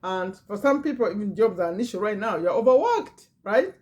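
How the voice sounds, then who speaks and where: A woman's voice: medium pitch, animated, fairly quick, a little mellow. A middle-aged woman with a foreign accent, a business owner talking casually to camera, repeating phrases and reading a list aloud. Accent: non-native English. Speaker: business owner and channel host. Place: Virginia, USA.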